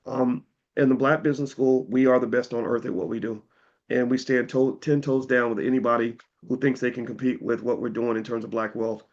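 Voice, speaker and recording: A slightly hoarse man hosting, giving a casual, persuasive sales pitch to viewers in plain-spoken talk, often repeating words as he restarts. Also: steady tone, a little fast, muffled recording. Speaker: host pitching an investing course.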